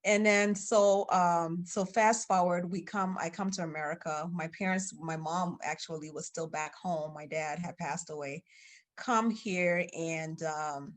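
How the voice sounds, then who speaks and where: Guest, woman in her 30s from Liberia, low voice, rambling storyteller. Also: fairly even intonation, measured pace. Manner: chatty, storytelling with many fillers. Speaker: guest, children's book author. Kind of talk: casual interview.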